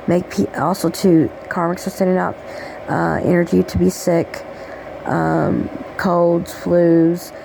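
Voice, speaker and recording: A woman with a raspy voice giving a rambling, affectionate spiritual message, checking in with "okay?" as she talks. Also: lowish voice, background noise. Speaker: spiritual channeler. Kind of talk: casual spiritual message monologue.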